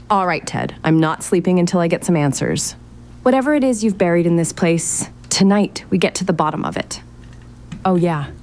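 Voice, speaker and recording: Woman acting a wry young female sleuth, lowish voice. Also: darkish tone, a little hiss or hum, natural voice. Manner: wry, sarcastic, exasperated muttering to herself. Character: sardonic, curious young woman investigating her late relative's mysterious house. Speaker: lead actor in an audio drama. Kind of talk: naturalistic fiction podcast acting, thinking aloud into voice memos.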